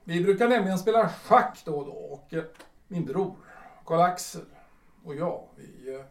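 High, echoey voice of a wistful old recluse played by a man. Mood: wistful musing. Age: 60s. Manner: hesitant, repeats himself, muses in broken phrases. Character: reclusive, nostalgic retired civil servant of 62, lonely but resigned. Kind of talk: theatrical radio drama acting. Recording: roomy echo, distant from the mic.

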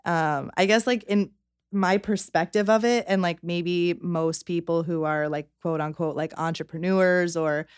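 A young woman in her twenties chats loosely as a podcast guest, her voice sitting low and sounding smooth and clear. She leans on "like" fillers and breaks into quick quoted asides. The sound is close and dry, with no room around it. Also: no echo. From Connecticut, United States. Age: 20s. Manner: chatty, frequent "like" fillers, quotes herself in lively bursts. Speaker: guest, tattoo artist. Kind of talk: casual conversational interview.

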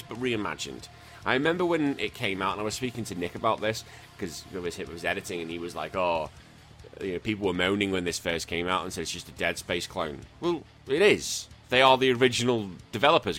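A man hosting a retro gaming podcast in casual chat, a bit croaky, blunt and joking, swearing freely. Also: fairly low pitch, sharp tone. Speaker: podcast host.

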